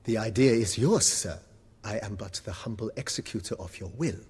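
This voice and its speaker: A man playing a deferential, fussy butler in a video game, with a breathy, husky voice and formal, florid, obsequious speech. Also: on the low side, normal speed, darkish tone, big-room sound. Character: deferential, fussy, eloquent male butler of an estate.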